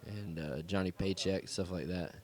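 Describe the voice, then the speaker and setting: A young man from the American South speaks in a low, easygoing voice with a clear Southern accent. He rambles loosely, piling up fillers and casual swearing, as a musician chatting in an interview. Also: fairly even intonation, normal speed, darkish tone. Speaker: musician guest.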